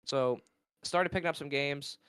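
A young man from Georgia, a college esports player and caster, talking in a casual interview with a naturally loud voice, rambling excitedly and losing his thread. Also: fairly high pitch, unhurried, clean tone, dry recording, clean studio sound. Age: young adult.

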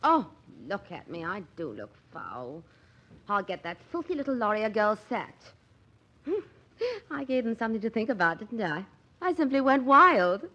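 Spoiled rich society woman, swooping pitch. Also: sing-song, normal speed, sharp tone, muffled recording. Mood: haughty, amused. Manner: haughty, teasing, theatrical. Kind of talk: 1940s radio drama acting.

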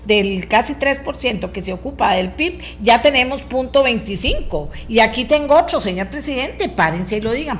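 A Costa Rican woman delivers opinionated commentary as a talk show host. Her voice is powerful and sits at an average pitch for a woman. She is emphatic and insistent, hammering phrases by repetition, with music audible along with her speech. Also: slight background noise. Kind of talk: opinionated political commentary addressed to listeners.

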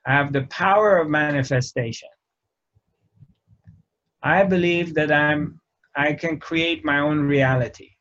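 A man speaking very slowly, with a slightly raised pitch, as he gives a contemplative spiritual teaching. He talks in short, deliberate phrases and leaves long gaps between them. The recording sounds dull and lo-fi. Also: fairly bright tone. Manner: slow, measured, pausing often between phrases. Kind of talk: reflective spiritual talk.

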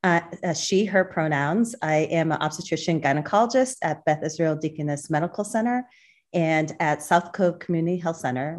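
A woman's voice: sits low, a little mellow. A woman obstetrician-gynecologist from Boston, answering interview questions thoughtfully with frequent fillers. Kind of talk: podcast interview.

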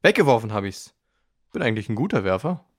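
A man's voice: fairly low pitch, sing-song, quick pace. A man playing an offhand, evasive boy thief in a children's audio drama, with slangy, nonchalant talk. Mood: defensive, cagey. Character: cagey boy thief from a poacher gang, offhand.

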